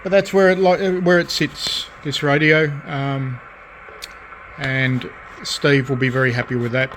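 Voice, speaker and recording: A man with a mid-pitched voice talks through a repair at his workbench. He is relaxed and unhurried, thinking aloud with plenty of ums and restarts. A faint hum of workshop ambience sits behind him. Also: poor audio. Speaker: radio restorer. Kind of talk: casual repair vlog narration. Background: rain storm outside.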